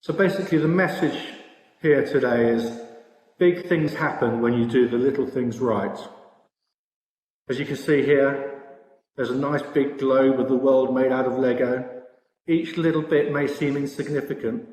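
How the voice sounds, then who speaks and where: A man's voice: mid-range voice, normal speed, darkish tone. Echoey British man giving a presentation. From Britain. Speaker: industry conference presenter, sportsbook products director. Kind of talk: slide presentation. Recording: echoey room with long reverb.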